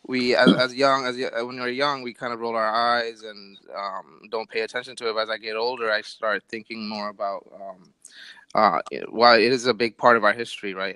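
A man's voice: fairly low pitch, sharp tone, clean tone, dry recording, low-quality recording. A man in his thirties from Brooklyn, co-hosting a casual podcast in a friendly, hesitant way. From Brooklyn, New York. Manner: friendly, hesitant, many fillers. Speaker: podcast co-host.

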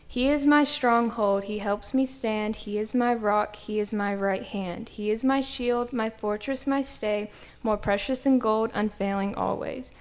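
A woman reciting a spoken-word devotional poem with a steady, rhythmic, rhyming delivery. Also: mid-range voice, a little slow.

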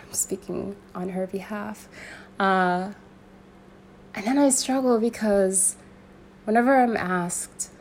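A young woman from Sudan speaking in an earnest, searching way, pausing and restarting as she thinks aloud. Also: mid-range voice, a little mellow, slight background noise. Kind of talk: personal reflective monologue.

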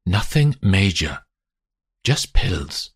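A guarded, evasive husband answers in a quiet, calm voice, as a man narrating voices him. His voice is low and husky, and he speaks slowly. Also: no room sound, clean studio sound, exaggerated voice.

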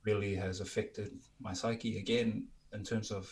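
A man speaking as a musician guest in a casual, reflective interview, candid and thoughtful, with halting phrasing. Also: fairly low pitch, level tone.

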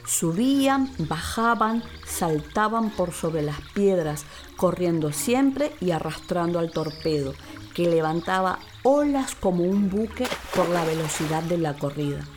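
A woman's voice: fairly low pitch, animated, unhurried, darkish tone, a little hiss or hum. Woman narrating a children's story over music. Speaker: actress narrating. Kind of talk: scripted children's radio play narration. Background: music bed.

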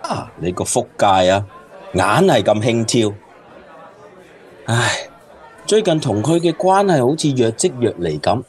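A man's voice: medium pitch, very animated, a little slow, long pauses, some chatter behind. An actor playing a grumbling, lovelorn man drinking at a bar in a Cantonese radio drama, with a Hong Kong accent. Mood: exasperated, lovelorn.

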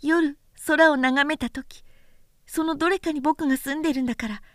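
Storybook boy prince, played by a woman: small, gentle, wistful; high, soft childlike delivery, very dry sound. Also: steady tone, normal speed, no room sound. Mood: tender, earnest. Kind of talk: storybook character voice in a literary recitation.

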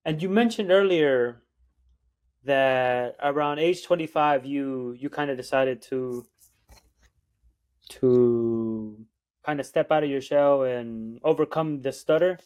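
A man's voice: mid-range voice, slow pace, darkish tone, smooth. A man hosting a podcast, asking casual questions with a few fillers and restarts. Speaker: podcast host.

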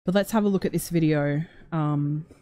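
A woman with a lowish voice hosts a livestream, chatting loosely and reading out viewer comments. Her tone is dark and mellow. She rambles, stopping and restarting her sentences. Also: moderate pace, a little hiss or hum. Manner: rambling, many fillers and restarts. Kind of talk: casual chat.

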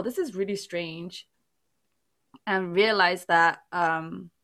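A woman born in England whose first language was not English, chatting casually and telling a rambling story full of "like"s. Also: on the low side. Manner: animated storytelling, rambling with many "like"s. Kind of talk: casual chat.

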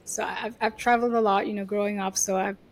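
A woman's voice: mid-range voice, steady tone, fast, low-quality recording. A woman with a Venezuelan Spanish accent, an agency head answering interview questions casually and confidently, often saying "you know" and "like". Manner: chatty, leans on "you know" and "like". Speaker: agency CEO, guest.

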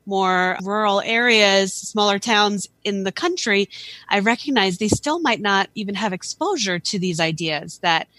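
A woman's voice: mid-range voice, unhurried, fairly bright tone, no room sound. A woman speaking as a podcast guest, talking thoughtfully and earnestly about her photo project, with many restarts. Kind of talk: casual podcast conversation. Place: Philadelphia area.

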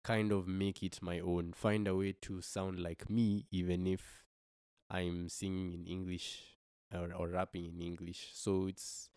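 A young man from Kenya with a very low voice speaks as a podcast guest. He talks in a reflective, hesitant way, often restarting his sentences. The recording is dry and close to the mic. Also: level tone, measured pace, a little mellow, clean tone, no room sound. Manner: thoughtful, hesitant with many restarts. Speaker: guest musician.

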